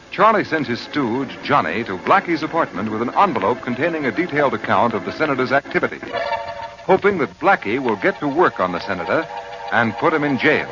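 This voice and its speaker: A man announcing on a 1940s radio show, reading scripted copy with emphatic, salesmanlike delivery. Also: medium pitch. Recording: muffled old radio recording.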